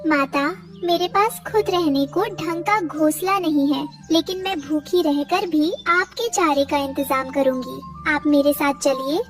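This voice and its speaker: A woman's voice: sits high, fairly even intonation, unhurried, few pauses, distant mic, music in the background. A woman voicing a poor, humble mother bird in a cartoon, devoted and deferential. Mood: sorrowful, humble. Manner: pleading, deferential.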